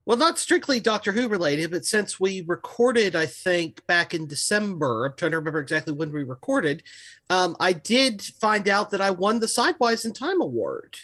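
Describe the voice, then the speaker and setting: American guy, podcast cohost, voice on the higher side, just chatting casually. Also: lively intonation, normal speed, a bit bright. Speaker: podcast co-host and fiction writer. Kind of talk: casual chat.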